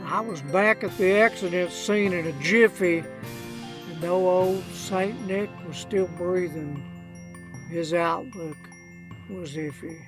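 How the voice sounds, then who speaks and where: A woman voicing a crusty, wryly unrepentant old redneck man, telling a comic rhymed storybook tale in a folksy, drawn-out way. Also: on the low side, music in the background. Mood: matter-of-fact, sly. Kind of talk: comedic rhymed storybook narration.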